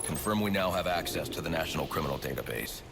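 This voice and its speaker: A man playing a grim, brooding masked vigilante detective in a video game, gravelly and terse. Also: rumbling low, lively intonation, fast, heavy background noise, exaggerated voice. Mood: grim, focused. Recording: loud music behind the voice.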